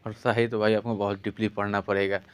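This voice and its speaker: A man's voice: fairly low pitch, little pitch movement, fast, clear, resonant tone. A man giving informal exam advice as a coach, repeating his instructions and addressing students directly.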